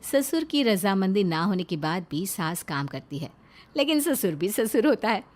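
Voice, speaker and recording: A woman's voice: medium pitch, sing-song, normal speed, a little mellow. Woman narrating a story over faint music. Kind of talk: scripted Hindi moral story narration.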